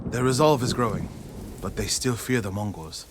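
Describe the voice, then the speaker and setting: An actor playing an earnest, stubborn, devoted warrior monk in a dramatic video game, speaking gravely. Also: male voice, fairly low pitch, normal speed, noisy background, close to an everyday voice.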